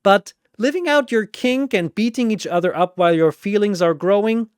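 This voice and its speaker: A man's voice: high-pitched, normal speed, smooth, no echo, clean studio sound, voice pushed up, close to an everyday voice. A man voicing a flamboyant, exasperated arena master in a fantasy battle story, scolding with theatrical emphasis. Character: loud exasperated flamboyant four-armed male arena master.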